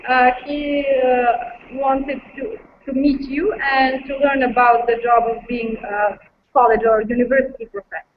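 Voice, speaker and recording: A woman's voice: high voice, little pitch movement, unhurried. A woman teacher with a Serbian accent, a native Serbian speaker, hesitantly reading out her pupils' questions with many uhs. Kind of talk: casual interview questions. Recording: dull lo-fi audio with clear noise.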